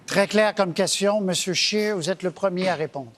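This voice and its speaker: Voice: mid-range voice, moderate pace, slight background noise. Formal debate moderator voice. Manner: crisp, formal announcing.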